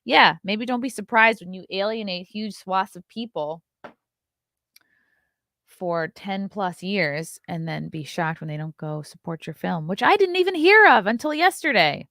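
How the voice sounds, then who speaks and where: A woman's voice: mid-range voice, expressive, a little slow, pushed and bright, no echo, studio quality. A woman in her thirties hosting a casual livestream, reading chat comments and reacting with blunt, sarcastic commentary and frequent swearing. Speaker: livestream host.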